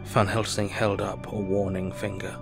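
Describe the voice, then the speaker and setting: An actor playing an earnest, anxious asylum doctor, narrating his diary in measured, literary audio drama style. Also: sits low, little pitch movement, creaky, music in the background. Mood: tense, measured.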